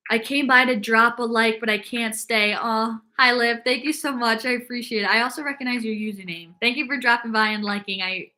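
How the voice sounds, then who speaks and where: A woman's voice: a little high, steady tone, a little fast, few pauses, pushed and bright. A young woman hosting a casual fitness livestream, chatting upbeat and reading viewer comments aloud, with lots of "like" fillers.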